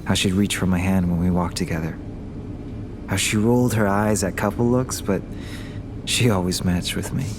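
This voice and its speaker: A man's voice: deep voice, moderate pace, background noise. An actor playing a wistful young man getting over a breakup, in an audio drama. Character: heartbroken young man missing his ex.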